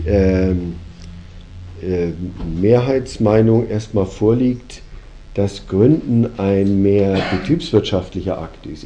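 A German-speaking man with a low, very dark and mellow voice leads a talk like a lecture. He reasons step by step and often pauses on filler sounds. A faint noise sits under the recording. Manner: reflective, argumentative, hesitant with fillers. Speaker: host and company founder. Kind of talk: lecturing conversation.

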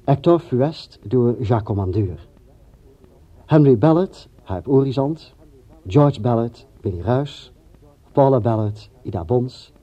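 Male announcer, mid-pitched and slightly husky, measured formal credit reading for radio drama, dull vintage sound. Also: animated. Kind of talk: formal scripted closing credits. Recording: muffled lo-fi old radio recording.

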